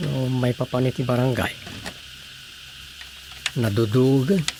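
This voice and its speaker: Gravelly and mid-pitched, this is an Ilocano village official in a radio comedy drama. He grumbles and muses aloud to himself. Faint insect ambience sits behind the voice. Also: steady tone, takes it slowly, noisy background. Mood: pondering, grumbling.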